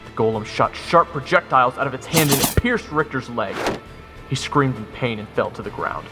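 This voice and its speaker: A wry soldier, voiced by a man, narrates his fantasy adventure in the first person. His voice is mid-pitched and matter-of-fact, with clear music underneath. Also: lively intonation, normal speed, natural voice. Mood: tense. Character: wry watchful soldier telling his story. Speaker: narrator of audio roleplay.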